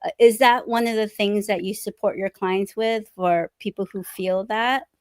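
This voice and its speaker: A woman's voice: medium pitch, steady tone, normal speed, dry recording, studio quality. Friendly woman host on a video call. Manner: thoughtful, friendly, laughs easily. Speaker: interview host. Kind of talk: casual video-call interview.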